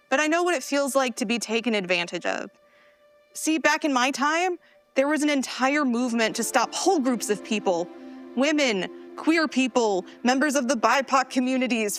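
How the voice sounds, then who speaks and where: A woman playing an excitable, earnest geeky heroine in a live sci-fi comedy drama, speaking emphatically. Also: sits high. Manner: expressive, emphatic, pleading.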